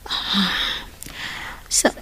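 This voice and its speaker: A woman playing a dying mother in an Ilocano radio drama, pleading weakly in halting, breathy gasps. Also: sharp tone. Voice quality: breathy, whispering. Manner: weak, halting, gasping delivery.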